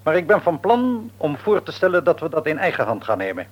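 A man's voice: on the high side, huge pitch swings, brisk, muffled recording. An actor playing a shrewd, patronising middle-aged father and businessman in a Dutch radio play, wordy and probing, with a Netherlands accent. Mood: brisk, businesslike. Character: shrewd, patronising businessman father, outwardly jovial, secretly domineering. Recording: radio drama recording.

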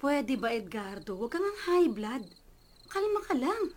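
A woman's voice: high voice, very animated, unhurried, full character voice. An actress playing a soothing, indulgent middle-aged Filipino mother and wife in a radio drama. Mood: placating. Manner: soothing, placating.

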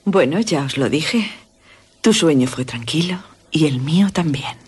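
An actress playing an enigmatic, languid young woman in a Gothic radio drama, husky and soft, with a Spain accent. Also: low-pitched, expressive, unhurried, exaggerated voice. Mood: languid, secretive.

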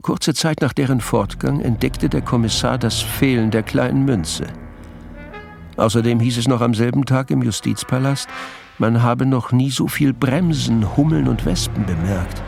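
An actor as the measured narrator of a radio crime drama, reading scripted narration. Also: male voice, mid-range voice, creaky, a little mellow, faint music behind, lightly acted.

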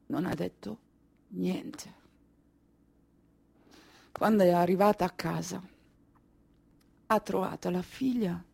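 An actress as a Kurdish refugee woman telling of her flight on stage. She speaks very slowly and deliberately, with weary, haunted feeling and pauses between short phrases. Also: on the low side, gravelly, dark tone, husky, heavily acted. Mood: hushed grief. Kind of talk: slow dramatic theatrical monologue.

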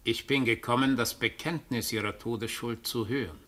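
An actor playing a doppelganger who comes for a prisoner on behalf of a high court. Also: male voice, moderate pace, fairly bright tone, lightly acted. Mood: cold. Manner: curt, commanding. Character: cold male doppelganger, envoy of the High Court. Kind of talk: radio drama acting.

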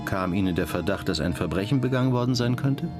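A man playing a terse, patient police detective who questions in a measured, matter-of-fact way in a radio crime drama. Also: on the low side, animated, close to an everyday voice. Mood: calm, terse. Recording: music behind the voice.

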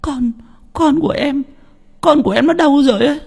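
Một người đàn ông kể chuyện vào vai người vợ quê hoảng loạn, giọng the thé, mếu máo, hay lặp từ. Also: male voice, fairly quick, gravelly, darkish tone. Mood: tearful pleading. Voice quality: shrill. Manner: sobbing, repeating words. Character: distraught shrieking peasant wife, wailing for her child.

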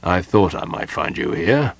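A man voicing a stern, patient male mage who explains things gravely and earnestly in a storybook reading. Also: sits low, darkish tone, no echo. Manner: measured, serious, explanatory.

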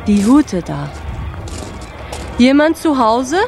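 An actress playing a calm, teasing jazz singer in a naturalistic radio drama. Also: female voice, on the high side, takes it slowly, over music, heavily acted. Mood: calm, matter-of-fact.

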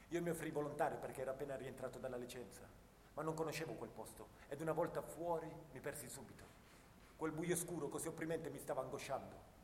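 A man playing a haunted, bitter young First World War soldier in a theatrical radio monologue, telling his story dramatically, between hushed and intense delivery. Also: a little high, lightly acted. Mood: uneasy. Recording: roomy, long reverb, clear background noise.

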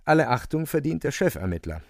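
A man's voice: on the high side, very animated, quick pace, darkish tone, no room sound, studio quality. A man narrating a literary radio play with theatrical, emphatic phrasing.

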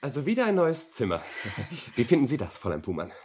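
An actor playing a flustered, indecisive company director in a radio play, husky, hesitant and easily exasperated. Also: male voice, mid-range voice, huge pitch swings, fast, darkish tone. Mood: bemused. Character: flustered, indecisive male company director, pondering his engagement.

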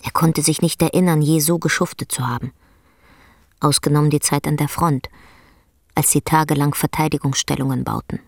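A woman narrating a literary radio play in a measured storytelling delivery. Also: low-pitched, fairly even intonation, vocal fry, dry recording, studio quality. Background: faint music.

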